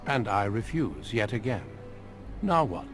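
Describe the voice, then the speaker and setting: A composed, unyielding fantasy game warrior. His voice is low and his speech formal and courteous, with light music underneath. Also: very animated, a little slow. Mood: calm, unyielding. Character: calm, confident veteran human warrior, formal and unyielding. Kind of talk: fantasy video game dialogue.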